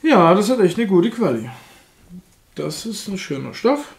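Young male unboxing vlogger, chatty and blunt, slight accent in his German, voice sits a bit high. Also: sing-song, a little slow, gravelly. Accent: German with a slight foreign lilt. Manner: chatty, frank, laughs at himself. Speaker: unboxing reviewer. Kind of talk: casual unboxing commentary.

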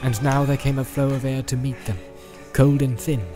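Male audiobook narrator over loud music. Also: medium pitch, flat delivery. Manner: solemn, measured literary delivery. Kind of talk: dramatic scripted storybook narration. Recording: loud background music and sound effects. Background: loud cinematic music.

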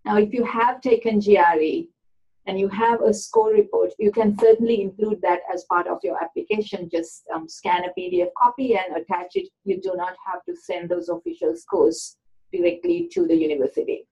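A woman at a middle pitch presents admission requirements in an online session. She explains patiently and fills her pauses with "um". The audio is muffled and lo-fi, like a webinar capture. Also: warm tone. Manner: explanatory, with frequent "um" fillers. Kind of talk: informational webinar talk.

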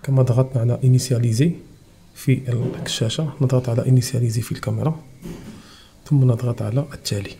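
A man's voice: mid-range voice, steady tone, quick pace, gravelly, warm tone, a little hiss or hum. A Moroccan man with a Moroccan Arabic accent, hosting a technical tutorial and explaining each step of a device setup. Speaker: channel host.

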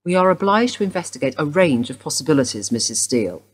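An actress playing a woman detective who questions a suspect in a brisk, formal way. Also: low-pitched, sing-song, husky, exaggerated voice. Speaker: police detective. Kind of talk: radio play acting.

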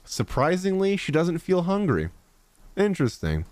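A man's voice: high voice, huge pitch swings, moderate pace, background noise. A young man giving casual live reaction commentary as a game streamer, close to the microphone. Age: young adult. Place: small indoor streaming room. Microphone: close studio microphone on a boom, headset on.

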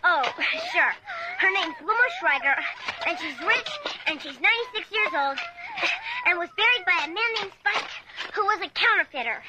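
A woman's voice: extremely high pitch, animated, normal speed. A woman playing an earnest, insistent young girl in a radio drama, on a noisy recording. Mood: playful.

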